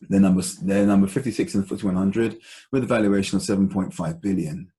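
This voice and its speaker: A man presenting an informal stock analysis as host of an investment call, explaining in a halting, deliberate, conversational way. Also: low-pitched, steady tone, mellow.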